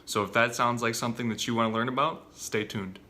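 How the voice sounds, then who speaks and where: A man's voice: mid-range voice, fairly even intonation, brisk, sharp tone, soft background music. A young man earnestly explaining language learning tips straight to his viewers, talking to them as "you guys". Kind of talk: direct-to-camera tips video.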